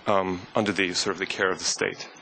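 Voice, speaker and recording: A man from the audience, slowly and tentatively asking a question to a panel. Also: sits low, level tone, pushed and bright, slight background noise, low-quality recording. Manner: slow, careful, tentative. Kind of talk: question from the floor.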